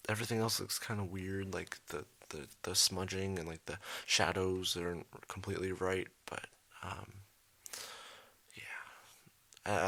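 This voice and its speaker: Low gravelly young man rambling quietly. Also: no echo. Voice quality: kept quiet and a bit raspy late at night. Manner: rambling, many ums, trailing off. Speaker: art channel host. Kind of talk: casual voiceover over a speed drawing. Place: his bedroom at home, at night.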